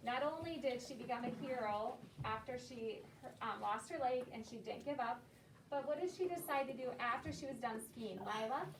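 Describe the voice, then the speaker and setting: A woman with a fairly high voice leads a read-aloud discussion as a schoolteacher. She explains things simply and encouragingly for children. The recording is distant and echoey, with clear noise and clicks. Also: normal speed. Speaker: elementary school teacher.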